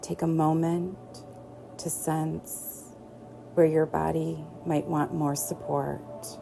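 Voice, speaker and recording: A woman teaching yoga nidra, guiding relaxation in a gentle, soothing way with long pauses. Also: low-pitched, level tone, creaky, low-quality recording. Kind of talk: guided relaxation meditation. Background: soft background music.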